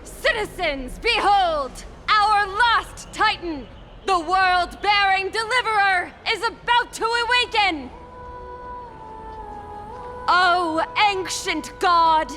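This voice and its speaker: A woman's voice: extremely high pitch, slow, bright, forceful tone, soft background music, full character voice. An actress playing a girlish, grand herald, declaiming ceremoniously as if before a god. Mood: solemn awe. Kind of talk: theatrical proclamation.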